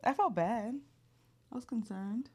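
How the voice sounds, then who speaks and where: A woman's voice: on the high side, measured pace, darkish tone. A woman in her thirties co-hosting a podcast, teasing her husband with dry comebacks. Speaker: podcast co-host. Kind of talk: casual chat.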